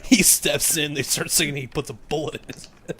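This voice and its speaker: A man's voice: fairly high pitch, a little fast, sharp tone, breathy edge, dry recording. A man chatting casually as a co-host, riffing on a story, repeating himself and often laughing. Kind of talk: casual joking chat.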